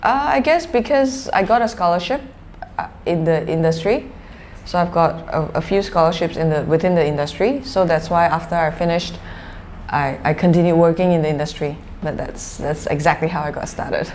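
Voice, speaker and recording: Low-voiced Malaysian woman in a noisy recording. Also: moderate pace, darkish tone. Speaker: interview guest, social enterprise founder. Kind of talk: conversational interview answers. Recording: clearly noisy background ambience.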